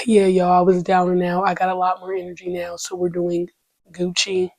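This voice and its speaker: A woman's voice: fairly low pitch, completely flat delivery, moderate pace, vocal fry, dry recording. A woman hosting her own podcast in a loose, slangy monologue, swearing freely and breaking into praise. She is congested and her voice is still coming back. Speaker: podcast host. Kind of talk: casual faith-filled podcast monologue.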